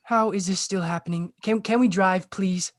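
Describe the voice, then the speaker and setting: A man playing an embarrassed, defensive high school boy, halting and exasperated, in a comedy table read. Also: fairly even intonation, no echo, studio quality, natural voice.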